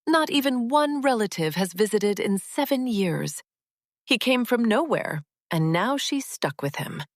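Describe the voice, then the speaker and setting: A woman's voice: a little high, lively intonation, measured pace, dry recording, studio quality, voice pushed up. A woman voicing a gossiping, incredulous neighbour in a folktale, judgmental and full of scornful rhetorical questions.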